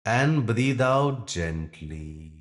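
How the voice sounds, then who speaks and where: Very slow, low-voiced male meditation guide. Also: animated. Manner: gentle instructing in short phrases with long pauses. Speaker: yoga nidra teacher.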